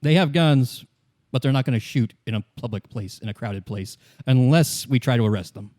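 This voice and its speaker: A man plays a level-headed male cop and partner in a tabletop game. He reasons in a measured, by-the-book way at an average pitch. The sound is dry, without room echo. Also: animated, darkish tone, lightly acted. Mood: reassuring.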